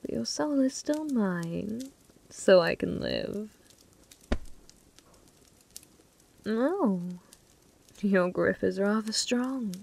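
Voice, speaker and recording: A woman voicing a flirty, possessive female demon bartender in an intimate ASMR roleplay, teasing and unhurried, sometimes slipping into whispers. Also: mid-range voice, expressive, gravelly, darkish tone, slight background noise. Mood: taunting, seductive.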